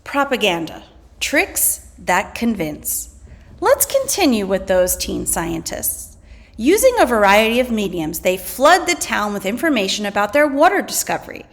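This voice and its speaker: A woman, a teacher reading scripted educational text aloud in an expressive way. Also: mid-range voice, measured pace. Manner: expressive, acts out quoted slogans.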